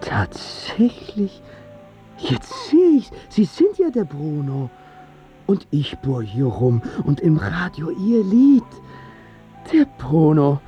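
A man's voice: fairly high pitch, huge pitch swings, warm tone, faint music behind, heavily acted. An actor in a radio drama playing a clumsy, starstruck dentist who gushes and draws out his exclamations. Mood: awestruck. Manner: gushing, drawn-out exclamations.

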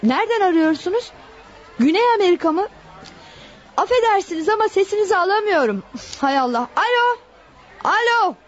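A woman's voice: very high-pitched, expressive, normal speed, long pauses. An actress playing a flustered female nurse straining to be heard, in a radio play. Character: flustered female nurse on a bad phone call. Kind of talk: Turkish radio play acting.

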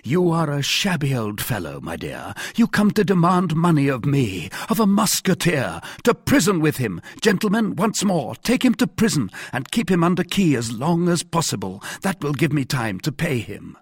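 A shrewd young musketeer, acted out by a male audiobook reader, bold and commanding. His pitch rides high and swings widely, with a slightly husky edge and a dry, close sound. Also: huge pitch swings, moderate pace, few pauses, no echo. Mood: loud, commanding. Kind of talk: audiobook character acting.

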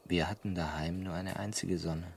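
A man playing an earnest, bewildered ordinary human in a radio drama, speaking in a hesitant, soft way. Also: low-pitched, fairly even intonation, moderate pace, gravelly, a little hiss or hum. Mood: dreamy, wistful. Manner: hesitant, soft-spoken, wondering. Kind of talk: German radio drama acting.

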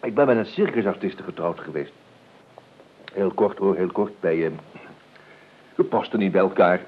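An actor in a Dutch radio play, playing an urbane, evasive nephew with a hesitant, florid, ironically polite way of talking. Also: male voice, low voice, expressive, normal speed, slight background noise. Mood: airy, ironic. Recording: dull lo-fi radio recording.

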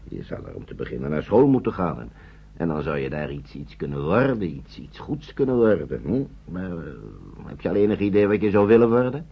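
Very deep, husky older gentleman. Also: big pitch swings, exaggerated voice. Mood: earnest, gentle. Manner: hesitant, deliberate, repeats himself, polite. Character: kindly older gentleman shepherding foster children, thoughtful, courteous. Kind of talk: naturalistic radio play acting. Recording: dull, lo-fi old radio play recording.

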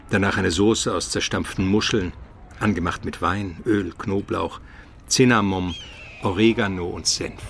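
An actor playing a learned Byzantine chronicler, narrating a radio drama in a measured, precise literary manner. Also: male voice, lowish voice, vocal fry, slight background noise. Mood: savouring, calm.

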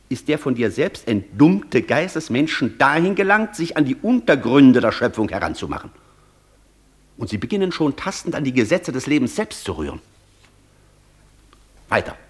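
An actor playing an intense, persuasive professor in a German radio play, declaiming and lecturing insistently with a husky voice. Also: male voice, medium pitch, heavily acted. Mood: insistent, mocking. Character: intense, persuasive male professor tempting the Devil. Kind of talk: German radio play acting, long rhetorical speeches.

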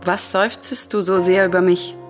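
Casting: actress for defiant, grief-stricken princess of Greek tragedy, solemn verse delivery, intensely emotional, music bed. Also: female voice, on the low side, little pitch movement, normal speed, lightly acted. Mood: bitter grief. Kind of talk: solemn radio drama acting of verse tragedy.

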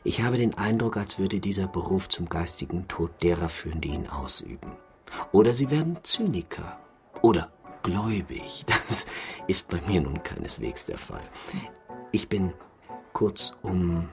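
An actor playing a sly, philosophising hotel floor waiter in a radio drama, insinuating and theatrical, with whispery asides. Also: male voice, low voice, full character voice. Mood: grandiose, sly.

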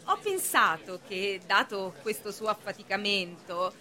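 A woman's voice: medium pitch, expressive, a little slow, pushed and bright, a faint crowd. A woman playing an ambitious, falsely solicitous deputy bank director in a radio drama. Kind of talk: theatrical radio acting.

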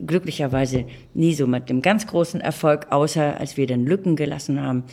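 A woman's voice: low voice, brisk, a little mellow. A woman of mature years. She talks conversationally, looking back as an interviewee. She leans on key words and repeats them for emphasis. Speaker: interviewee, audio drama producer.

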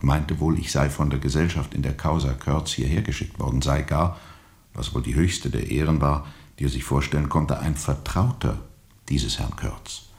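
An actor playing a world-weary steamboat captain who tells of his journey gravely and reflectively, with heavy pauses, in a radio drama. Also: extremely low pitch, darkish tone. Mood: brooding, deliberate. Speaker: narrator and lead character.

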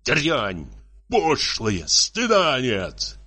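A man's voice: mid-range voice, huge pitch swings, very slow, bright, forceful tone, voice pushed up, full character voice. A man voicing a tipsy, jealous, bullying husband who scolds in sputtering, tearful exclamations for a story. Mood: furious. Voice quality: muffled, tearful, raised voice.

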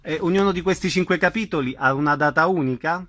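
A man's voice: fairly high pitch, fast talker, fairly bright tone, dry recording, clean studio sound. A man hosting a radio interview, putting questions to a guest.

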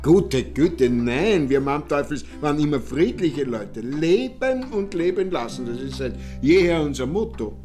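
An aristocratic country lord for a radio drama. He is effusive and rambling, repeats himself, and swings widely in a fairly high voice over music. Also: sing-song, measured pace, hardly any pauses, darkish tone. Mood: genial. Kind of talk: German radio drama acting.

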